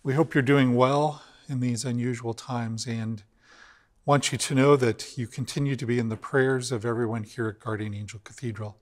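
An older man, a cathedral rector, giving a measured, earnest weekly reflection on scripture in a large empty church, filmed at mid distance. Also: mid-range voice, fairly even intonation. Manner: measured, earnest, slows for emphasis. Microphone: camera at mid distance. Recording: long church reverb.

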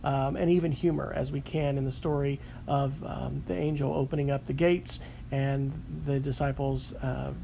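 A man reading a scripture passage and then calmly summarizing a sermon he heard, with frequent fillers. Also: medium pitch, moderate pace, creaky, a little hiss or hum. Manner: calm, reflective, with frequent fillers. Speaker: sketchnote narrator.

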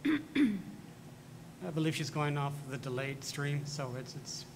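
A man at a school board meeting calmly explaining a streaming delay and moving through slides. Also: slow. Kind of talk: meeting logistics talk. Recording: meeting room mic with clear rumble.

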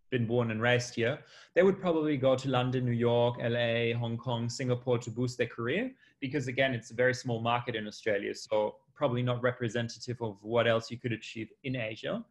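A man in his thirties explaining things in a measured way as a panelist on a video call. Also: mid-range voice. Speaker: panelist, consultant.

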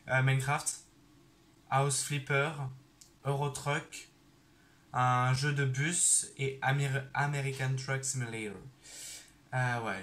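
A young man chatting casually over his gameplay on a headset mic, excitable and throwing in English exclamations. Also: medium pitch. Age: young adult. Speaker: gaming YouTuber. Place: bedroom. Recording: roomy sound with game music behind.

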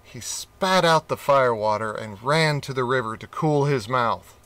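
A man reads a children's storybook aloud, slowly and expressively. His voice is bright and forceful, and his delivery is gentle and unhurried. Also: medium pitch, lively intonation, clear, resonant tone. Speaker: audiobook reader.

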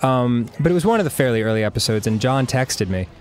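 A man's voice: medium pitch, expressive, fast talker, a little hiss or hum. A man in his thirties, first language Hebrew, chatting casually as a co-host over gameplay, rambling with many fillers. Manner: rambling, frequent fillers and laughs.

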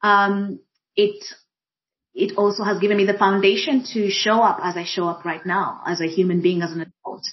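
A woman from Kenya, a diversity and inclusion practitioner speaking thoughtfully on a panel, pausing often with fillers. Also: mid-range voice, flat delivery. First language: Swahili. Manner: thoughtful, many fillers and restarts. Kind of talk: reflective panel conversation. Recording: dull lo-fi audio.

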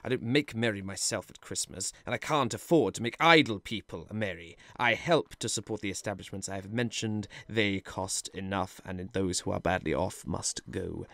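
An actor playing Scrooge, a bitter old miser who talks in a cold, dismissive, sneering way. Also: male voice, fairly low pitch, moderate pace, creaky, few pauses, fairly bright tone, no echo. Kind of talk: audio drama acting.

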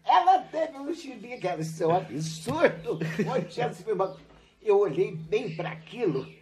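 An older woman, a retired teacher, recounting her school days in a relaxed interview on a home patio. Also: mid-range voice, measured pace, crowd noise. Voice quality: lively, animated storytelling with laughs. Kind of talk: reminiscing interview. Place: covered outdoor patio at a home.

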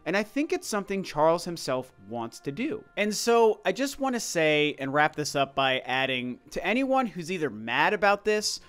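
A man with a slightly high voice delivers casual opinion commentary in a voice-over. He talks conversationally but emphatically, circling back over his points to drive them home. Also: big pitch swings, moderate pace, few pauses, fairly bright tone, clean tone, faint music behind. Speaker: video commentator. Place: voice-over over gameplay footage.

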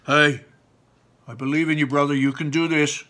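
A man's voice: a little high, level tone, normal speed, pitched above the performer's own voice. A man reading a story aloud, voicing a boisterous older brother who cheers his sibling on. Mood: encouraging. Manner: loud, upbeat, pep-talking.

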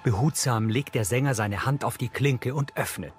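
A man narrates on-screen action as an audio describer, with a mid-pitched voice and a matter-of-fact delivery. Music plays clearly behind him. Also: moderate pace. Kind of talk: scripted audio description narration, present tense.

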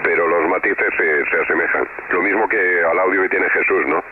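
A deep-voiced man with a Spain Spanish accent talks casually on ham radio. The sound is a dull radio signal with clear static behind it. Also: fairly even intonation, moderate pace. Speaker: amateur radio operator.

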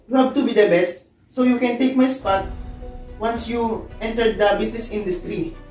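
A man's voice: very high voice, fairly even intonation, moderate pace, a little mellow. A man playing a stern, proud businessman father in an amateur melodrama, scolding and boastful. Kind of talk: amateur one-act melodrama. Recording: echoey room, distant mic, background music.

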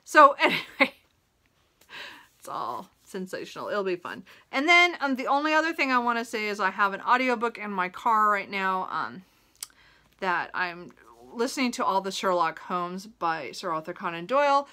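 A woman's voice: mid-range voice, animated, moderate pace, sharp tone. A woman in her thirties talking casually to camera as a book vlogger, in a rambling way that often interrupts itself. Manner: rambling, self-interrupting, chuckles while talking. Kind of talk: casual chat to camera about a reading list. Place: small room at home, indoors.

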